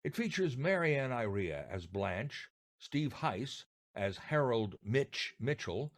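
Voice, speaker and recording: A man's voice: medium pitch, sing-song, takes it slowly. A man co-hosting a podcast, reading scripted introductions. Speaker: podcast co-host.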